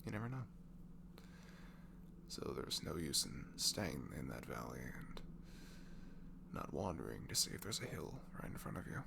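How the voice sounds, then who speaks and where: Very deep, gravelly, slow-talking man. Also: sing-song, background noise. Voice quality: low velvety, gravelly. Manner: gentle, halting, drifts into breathy murmurs, casual teasing. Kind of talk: improvised intimate ASMR comfort voice message to a friend.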